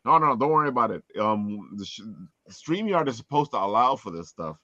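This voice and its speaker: A man's voice: mid-range voice, sing-song, brisk, pushed and bright. A man hosting a casual live panel chat over an online call. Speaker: podcast host. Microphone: online video call. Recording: roomy echo, dull lo-fi sound.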